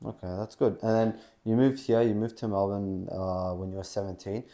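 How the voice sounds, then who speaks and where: A man's voice: on the low side, little pitch movement, moderate pace, mellow. A man interviewing a guest, asking questions hesitantly and restarting them as he goes. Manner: hesitant, repeats his question openings.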